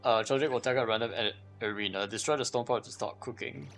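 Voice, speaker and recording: A man's voice: mid-range voice, fast talker, creaky, a bit bright, soft background music. A man giving casual live gameplay commentary, thinking aloud as he repeats and questions himself. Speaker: game livestreamer.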